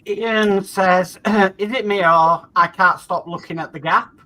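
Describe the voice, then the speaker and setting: A man hosts a relaxed online hobby stream. His voice sits high and sounds bright. He reads viewer comments aloud and runs down the schedule over a call. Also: measured pace, rough recording. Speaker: host. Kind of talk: casual chat on a live stream.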